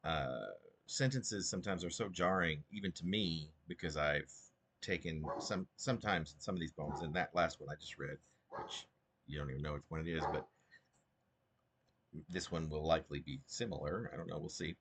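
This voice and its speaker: A man with a husky voice speaking as a poet about and from his own work, in an informal, halting and digressive way. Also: rumbling low, a bit bright, a little hiss or hum. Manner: halting, digressive, self-interrupting. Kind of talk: rambling informal commentary and poetry reading.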